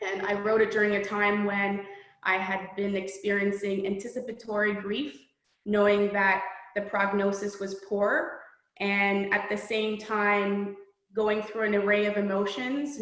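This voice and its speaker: A middle-aged woman author from Poland speaks in an earnest, reflective, measured way, her voice fairly flat in pitch. The recording has a noticeably echoey room sound. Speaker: children's book author. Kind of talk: reading aloud, then interview answers.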